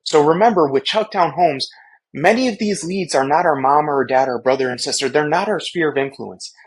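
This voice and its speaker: A man's voice: on the high side, fairly quick, low-quality recording. A man working as a real estate sales trainer, giving an instructional talk in a coaching way, often checking in with his listeners. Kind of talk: instructional training talk with role-played example phone lines.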